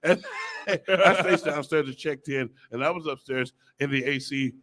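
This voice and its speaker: A man's voice: a little high, normal speed, fairly bright tone. A man on a sports talk panel chatting casually about football, making his points insistently.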